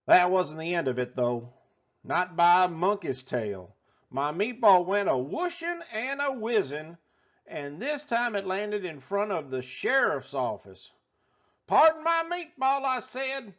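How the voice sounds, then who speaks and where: A man voicing a folksy, excitable restaurant owner telling a tall tale in a theatrical drawl for a children's storybook. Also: high voice, unhurried, lo-fi sound, full character voice. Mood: folksy, excited. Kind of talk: animated children's storybook read-aloud.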